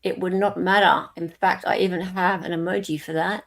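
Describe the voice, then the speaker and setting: Aussie woman hosting a chatty live panel, lots of creak in her voice. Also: fairly low pitch, fairly even intonation, moderate pace. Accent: Australian. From Australia. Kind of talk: casual panel chat.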